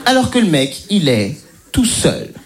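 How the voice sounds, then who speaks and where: A man's voice: a little high, wildly swooping pitch, unhurried, background noise. A man from the French suburbs doing stand-up, telling an exaggerated school story with hesitations and mock voices. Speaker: stand-up comedian. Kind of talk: stand-up routine.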